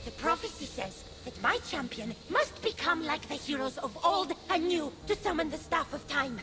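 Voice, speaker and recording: A woman's voice: sits high, expressive, normal speed, a bit bright, soft background music. A woman playing a childish, insecure goddess in a video game, with a hesitant, plaintive delivery. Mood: zealous. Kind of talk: animated video game acting.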